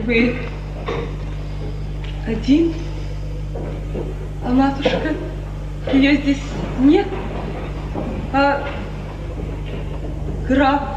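A very high-pitched, anguished young daughter, proud and defiant, in a slow, theatrical radio-tragedy style. She comes through an echoey, noisy 1950s recording. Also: muffled recording. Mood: anxious, subdued. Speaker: actress.

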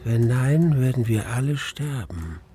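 An actor playing a grave man who recounts mortal terror, narrating a radio play deliberately and with weighted pauses. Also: mid-range voice, lively intonation, mellow, slightly rough, faint music behind. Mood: dread. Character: grave male narrator recalling his mortal terror in a cellar. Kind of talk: dramatic first-person radio play narration.

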